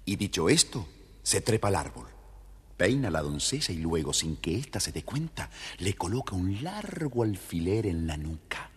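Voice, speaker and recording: A man narrating a scripted children's story in an expressive, theatrical way, with a husky voice. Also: low voice, normal speed. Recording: hall-like reverb, faint music behind.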